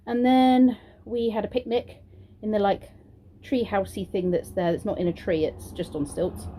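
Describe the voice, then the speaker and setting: A woman's voice: medium pitch, fairly even intonation, normal speed, warm tone. A young British woman vlogging at home, chatty and rambling with many ums, sounding throaty and congested from a sore throat. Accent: British English. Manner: chatty and rambling, many ums, sing-song praise when talking to children. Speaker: vlogger and childminder. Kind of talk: casual vlog chat to camera. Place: at home, indoors.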